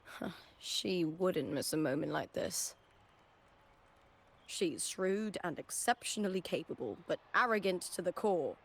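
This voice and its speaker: A woman's voice: fairly low pitch, expressive, a little slow. A woman voicing a fierce, confident young gladiator in a video game, bold and emphatic. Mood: confident, resolute. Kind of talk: heroic video game voice acting.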